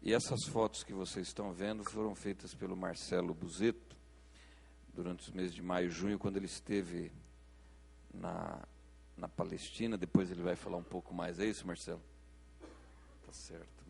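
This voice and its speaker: A man's voice: sits low, flat delivery, moderate pace, creaky, darkish tone, slightly rough. A man introducing speakers at an assembly as moderator, in an informal, conversational way. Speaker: event moderator.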